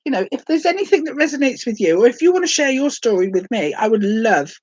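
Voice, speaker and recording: A woman's voice: on the high side, big pitch swings, quick pace, a little mellow, slightly rough, dry recording, muffled recording. A woman in her late forties from Hereford, chatting casually and rambling a little in a first-ever vlog while full of a cold with a sore throat. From England, Hereford. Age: late 40s. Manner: rambling, self-deprecating, chatty. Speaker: vlogger.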